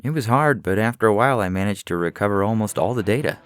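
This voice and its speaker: A man's voice: on the low side, expressive, quick pace, no room sound, voice pushed up, lightly acted. A man voicing a weary, empathetic alien data technician who explains defensively in a science fiction story, over music. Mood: defensive, harried. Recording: music under the voice.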